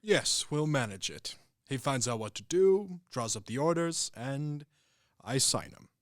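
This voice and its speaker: A man's voice: mid-range voice, animated, unhurried, dry recording, studio quality, close to an everyday voice. A young man acting a grandiose cavalry officer in a radio play, with a pompous, theatrical manner. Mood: wry, proud.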